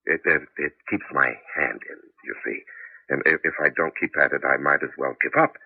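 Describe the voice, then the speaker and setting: An actor playing an apologetic, secretive husband, a tinkering scientist turned cabbie, hesitant and stammering in a 1980s radio drama style. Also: male voice, very deep voice, a little fast, gravelly, husky, dry recording, full character voice. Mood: evasive, defensive. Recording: dull vintage radio broadcast.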